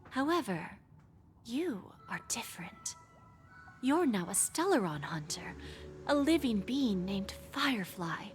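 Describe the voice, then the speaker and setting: An actress voicing a poised, shrewd woman pawnbroker in a video game, speaking in a measured, formal, insinuating way with breathy phrase endings. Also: a little high, very animated, faint music behind. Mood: measured, intrigued. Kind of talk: scripted game dialogue.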